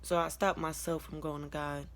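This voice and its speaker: Young American female vlogger, lower-pitched voice, informal confessional storytelling, colloquial and unguarded. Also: flat delivery, normal speed, fairly bright tone, slight background noise. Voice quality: low-toned, deep voice. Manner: candid, colloquial, rambling, chuckles as she talks. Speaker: vlogger sharing a personal testimony. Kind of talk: casual confessional storytelling to camera.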